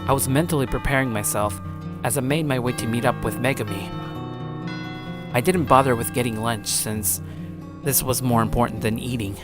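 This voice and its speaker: A man's voice: mid-range voice, moderate pace. Man reading scripted story narration over music. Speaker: narrator and show host.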